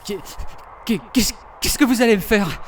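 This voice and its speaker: A man's voice: high voice, expressive, a little slow, distant mic, noisy background. An actor playing a timid, frightened expectant father in a French audio drama, stammering and hesitant. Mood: terrified.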